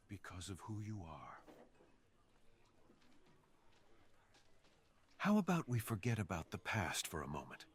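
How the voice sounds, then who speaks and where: A brooding fox assassin, acted out by a man speaks in a fairly low voice for an ASMR roleplay. He is intimate and flirtatious, leaving dramatic pauses as he bares his feelings with earnest, seductive intent. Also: slight background noise. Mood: earnest, tender. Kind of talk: ASMR roleplay, slow intimate scripted monologue.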